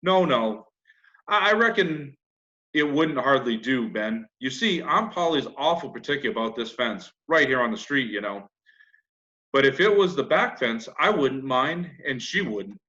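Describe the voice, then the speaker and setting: A man's voice: medium pitch, big pitch swings, a little slow, sharp tone. Children's storybook role: crafty country lad, read by a man, folksy, feigned indifference, slightly dull audio. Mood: reluctant, sly. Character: crafty, nonchalant young country boy. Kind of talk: children's classic storybook reading.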